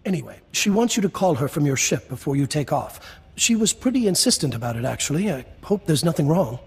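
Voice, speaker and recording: A man playing a friendly, earnest middle-aged small-town mayor in a video game, warm, effusive and a little hesitant. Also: a little high, very animated, brisk, husky, exaggerated voice. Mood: chatty, earnest.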